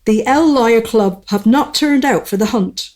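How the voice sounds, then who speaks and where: A woman's voice: a little high, a little slow, darkish tone, close to an everyday voice. A woman playing a cheeky, pun-loving royal jester with a teasing manner in a comic radio play. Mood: wry. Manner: teasing, quipping.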